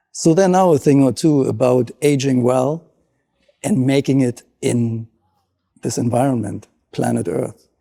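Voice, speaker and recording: A man's voice: medium pitch, creaky, dark tone. A middle-aged man with a German accent, a marine scientist explaining his work in an interview with earnest enthusiasm.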